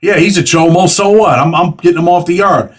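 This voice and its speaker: Fast-talking man in his forties. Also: high voice. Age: 40s. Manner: fast, conversational, streetwise slang. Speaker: host. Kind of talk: casual interview.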